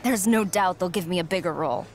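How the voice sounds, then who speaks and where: A woman's voice: fairly low pitch, fast talker, noisy background, lightly acted. An actress playing a cocky young female wrestler in a video game cutscene, speaking in a dry, teasing, confident way.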